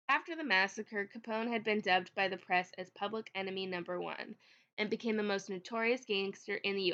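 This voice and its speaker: A woman's voice: mid-range voice, gravelly, a bit bright, lo-fi sound. A woman with a cold and a slightly sniffly voice, narrating history in a casual, chatty way with frequent fillers. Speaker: history video host.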